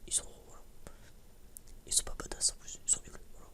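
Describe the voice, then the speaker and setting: Whispering young man, hesitant and rambling. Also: brisk. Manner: hesitant, rambling, informal and slangy. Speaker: ASMR creator. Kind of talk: whispered ASMR casual chat.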